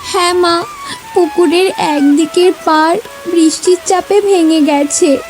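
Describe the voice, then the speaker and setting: A woman voicing an earnest, plaintive twenty-year-old village daughter in an animated cartoon story. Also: very high voice, takes it slowly. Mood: distressed. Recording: music bed behind voice.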